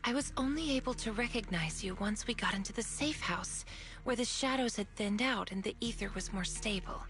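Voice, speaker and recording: An actress playing a gentle, apologetic young woman in a video game, speaking in a soft, measured, earnest way. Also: medium pitch, natural voice. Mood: calm, wistful. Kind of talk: video game story voice acting.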